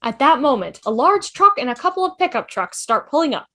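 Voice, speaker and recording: Bright, high-pitched woman narrating. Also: very animated, brisk. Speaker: stage directions narrator in a table read. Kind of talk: scripted narration. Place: video call table read.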